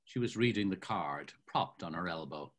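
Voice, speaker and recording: Brief: older male narrator, lively pitch, slightly husky, expressive literary reading over webcam. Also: normal speed. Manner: savoring, expressive storytelling. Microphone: video call webcam.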